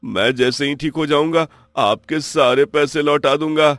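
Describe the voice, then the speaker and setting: A man's voice: fairly high pitch, measured pace, fairly bright tone, no room sound. A man playing an honest, poor village farmer who pleads and laments in a moral story. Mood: pleading.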